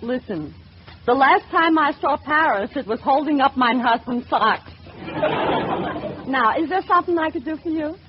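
An actress playing a teasing, wisecracking lingerie shopkeeper in 1940s radio comedy. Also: female voice, high-pitched, animated, measured pace, crowd noise. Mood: wry. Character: brassy, teasing fake-Parisian lingerie shopkeeper woman. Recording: muffled old radio recording, audience, noise.

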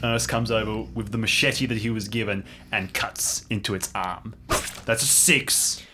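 A man's voice: fairly low pitch, steady tone, normal speed, background noise. A man improvising as a player in a tabletop role-playing adventure, describing what his character does. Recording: music playing behind the voice. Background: music.

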